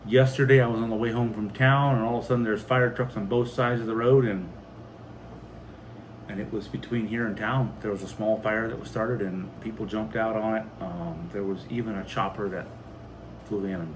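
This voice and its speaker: A man's voice: lowish voice, fairly even intonation, moderate pace, low-quality recording. Casual American man chatting over background noise. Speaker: homestead vlog host. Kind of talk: casual chat reading viewer comments.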